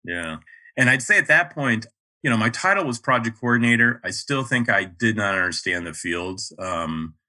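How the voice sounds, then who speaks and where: A man in his fifties from North Carolina with a neutral mid-Atlantic American accent, speaking as a podcast guest in a measured way, with frequent ums. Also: medium pitch, expressive, pushed and bright. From North Carolina, USA. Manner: measured, many ums and uhs. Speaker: podcast guest, project manager. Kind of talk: casual interview talk.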